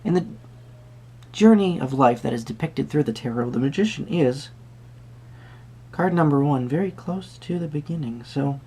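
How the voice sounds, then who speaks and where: An eccentric, courtly male tarot reader, acted by a man in a soft roleplay. His voice is mellow and husky with a gravelly creak, and he muses theatrically and politely, drifting into murmured asides. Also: fairly high pitch, lively intonation, normal speed, long pauses. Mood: courteous, mysterious. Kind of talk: soft-spoken tarot reading roleplay to the viewer.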